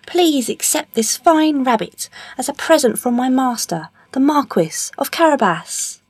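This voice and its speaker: A woman's voice: high-pitched, sing-song, measured pace, husky, no echo, pitched above the performer's own voice, heavily acted. A woman voicing a clever male storybook cat, eager and persuasive, with courtly, emphatic speech.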